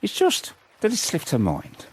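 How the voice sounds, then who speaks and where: An actor playing a roguish, lovelorn soldier in a First World War radio drama, with a very animated delivery. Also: male voice, a little high, normal speed, husky, exaggerated voice. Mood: anxious, wistful. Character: roguish, lovelorn Irish soldier in WW1 trenches.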